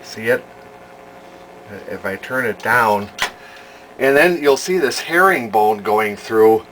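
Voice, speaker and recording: An older man giving a casual, unscripted hobbyist demonstration, talking in a folksy, halting way as he thinks aloud. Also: lowish voice, expressive, a bit bright, slight background noise, rough recording. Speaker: hobbyist demonstrating a vintage television he repairs.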